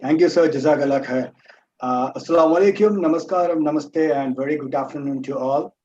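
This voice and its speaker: A man's voice: fairly high pitch, brisk, darkish tone, muffled recording, slight background noise. A man whose first language is Urdu, giving an earnest motivational talk to students, repeating key points and calling them his dear children. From India. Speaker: motivational speaker and trainer.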